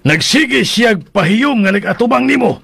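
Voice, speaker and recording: An actor playing a jealous, needy young husband in a radio drama, theatrical and volatile, with a Filipino accent. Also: male voice, very high voice, lively intonation, moderate pace, gravelly, breathy edge, dry recording, full character voice. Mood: jealous, angry. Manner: theatrical, swinging from barked demands to pleading. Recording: loud dramatic music bed.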